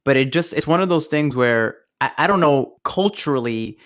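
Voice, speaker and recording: Italian-born man telling stories, animated. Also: fairly high pitch, moderate pace. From Italy. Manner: animated storyteller, laughs while telling stories. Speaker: podcast co-host. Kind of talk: casual chat.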